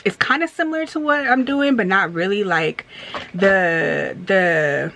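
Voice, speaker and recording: A woman's voice: mid-range voice, unhurried, vocal fry, a bit bright, slight background noise. A woman in her thirties chatting candidly in a storytime vlog, rambling with many fillers and restarts and turning emphatic as she vents. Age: 30s. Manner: emphatic when venting. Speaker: vlogger. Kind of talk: casual storytime chat while getting ready.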